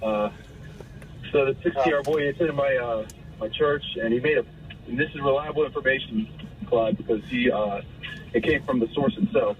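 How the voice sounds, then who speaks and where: American male caller, mid-pitched, halting and earnest, on a distant phone with faint noise behind. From Pennsylvania, United States. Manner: hesitant, halting, pauses often. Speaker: radio show caller. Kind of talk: earnest anecdote told on a call-in show. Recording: distant, noisy phone call.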